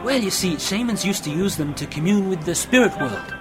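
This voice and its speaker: A man's voice: sits high, expressive, a little fast, music in the background. A man playing an eager, bookish friend full of lore in an amateur science fiction radio play, explaining and musing as he goes. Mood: musing, explanatory.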